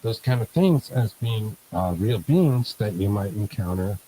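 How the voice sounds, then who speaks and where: Man, panel guest, agreeable, joking, low voice, faint hiss. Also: lively intonation, measured pace, dark tone, rough recording. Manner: agreeable, lots of yeahs, joking. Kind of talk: casual panel chat.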